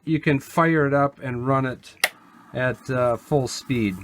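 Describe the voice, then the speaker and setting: A man giving a casual hands-on product demo, explaining step by step in a plainspoken, unhurried way. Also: medium pitch, background noise. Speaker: vintage gear seller and demonstrator.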